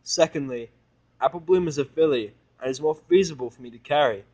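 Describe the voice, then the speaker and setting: A man's voice: a little high, a little fast, a little mellow, muffled recording, lightly acted. A man voicing a hostile, sarcastic human who snaps and rants angrily in a fan audio drama. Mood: furious. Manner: snappish, ranting, swearing. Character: bitter, hostile, sarcastic human male misanthrope.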